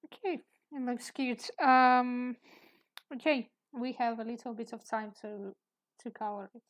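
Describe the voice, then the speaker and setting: A woman with a soft, sleepy voice, chatting hesitantly while she draws on a live stream. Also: fairly high pitch, steady tone. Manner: hesitant, halting, with small laughs. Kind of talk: casual chat while sketching.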